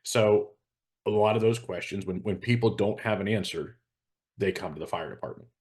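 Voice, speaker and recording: An American man talking informally as a hazardous materials expert, explaining things in a measured, matter-of-fact way. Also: on the low side, darkish tone.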